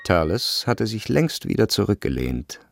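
A man narrating a literary radio drama in scripted, measured and expressive storytelling. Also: on the low side, creaky, faint music behind.

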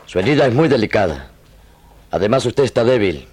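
Formal officer, concerned superior, somewhat low register, radio drama. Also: male voice, very animated, fairly quick, a little mellow, a little hiss or hum. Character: army lieutenant, formal, measured, concerned officer.

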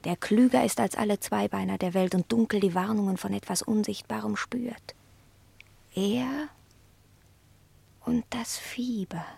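A woman's voice: medium pitch, vocal fry, a little mellow, husky, dry recording, heavily acted. A woman playing a proud, jealous, cruel and mysterious cat in a 1960s German radio play, theatrical and sardonic, often whispery.

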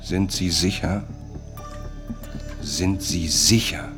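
Deep gravelly guy narrating a radio drama, brooding, measured phrases, music clearly behind him. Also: fairly even intonation. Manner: deliberate, dramatic, short phrases. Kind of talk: scripted radio play narration.

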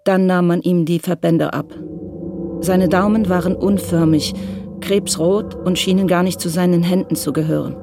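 A woman narrating a radio crime drama in a sober, measured, literary style. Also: lowish voice, fairly even intonation, creaky.

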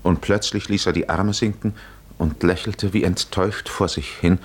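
An actor playing a brooding male storyteller in a 1950s radio play, speaking in a solemn, deliberate, literary way. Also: sits low, fairly even intonation. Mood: solemn, resigned. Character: grave male storyteller addressing Odysseus, brooding. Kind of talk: 1950s radio drama narration.

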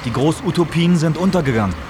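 A man's voice: on the high side, lively intonation, fast, noisy background. A man reading scripted literary narration in clipped, declaimed phrases. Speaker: feature narrator.

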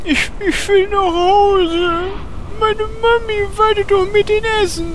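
A woman's voice: very high voice, steady tone, slow, background noise. A woman voicing a timid, childish big warrior in a parody fantasy audio drama, whiny and simple. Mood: scared, whiny.

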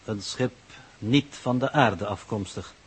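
An actor playing a formal, pedantic, coolly authoritative mission coordinator in 1960s radio drama style, speaking in measured, official phrases. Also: male voice, fairly low pitch, husky, heavily acted. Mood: measured, explanatory.

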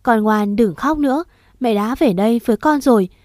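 A woman voicing a desperate young mother who pleads through tears and shouts in fury. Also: on the high side, normal speed, dry recording, clean studio sound, natural voice. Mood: tearful pleading. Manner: sobbing pleas turning to shouted fury.

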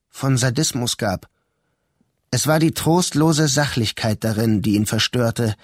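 A man's voice: a little high, no echo, studio quality. A man reading scripted literary narration as the narrator of a radio drama.

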